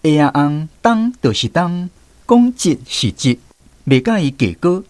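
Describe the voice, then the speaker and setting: A man's voice: a little high, very animated, unhurried, a little mellow, no room sound. A man narrating a village story in a radio drama, looking back on his childhood. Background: music.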